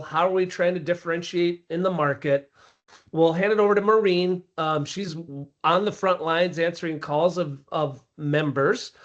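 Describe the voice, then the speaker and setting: Guy with a cold, scratchy voice, casual webinar pitch, muffled call sound. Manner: conversational, with frequent ums and restarts. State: hoarse from a cold. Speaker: sales presenter. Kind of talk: business webinar presentation. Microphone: video call.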